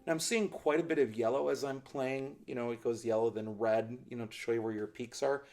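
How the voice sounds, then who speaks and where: A man's voice: medium pitch, fairly even intonation, fairly quick, a little mellow, soft background music. A middle-aged man reviewing ukulele gear in a small studio, explaining things in a thoughtful, hedging way. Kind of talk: product review demonstration. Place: small indoor studio with a plain backdrop.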